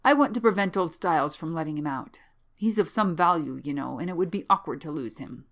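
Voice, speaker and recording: A woman's voice: deep voice, expressive, brisk, fairly bright tone. A woman voicing a nervous, secretive young male student in an audiobook, flustered and evasive. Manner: flustered, clipped excuses. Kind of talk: audiobook character voice.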